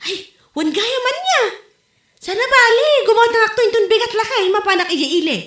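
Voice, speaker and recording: An echoey room carries a woman playing a chatty, vain Ilocano mother in a comedy drama. Her voice is very high and swings widely as she banters and exclaims like a squabbling wife. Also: animated, brisk, fairly bright tone. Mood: excited, evasive. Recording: roomy, echoey home recording.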